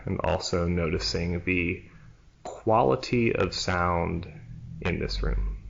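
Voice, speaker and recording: A man leading a guided yoga nidra relaxation as a teacher, in a hushed, soothing manner with long pauses between short phrases. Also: sits low, creaky, a little hiss or hum. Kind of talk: slow guided relaxation meditation. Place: church room, live class. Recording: dull, muffled live recording.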